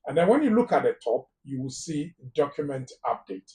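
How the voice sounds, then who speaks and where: A man's voice: fairly high pitch, very animated, a little fast, a little mellow, distant mic. A man with a Ghanaian English accent giving immigration advice on a live phone-in talk show, emphatic and repeating words for stress. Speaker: immigration lawyer guest.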